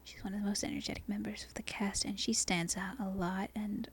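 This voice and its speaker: Whispering Australian woman, halting and soft. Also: mid-range voice, level tone. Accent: Australian, softened. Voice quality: breathy whisper. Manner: halting, unscripted, self-correcting. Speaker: amateur ASMR video creator. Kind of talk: whispered ASMR ramble. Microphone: non-binaural microphone.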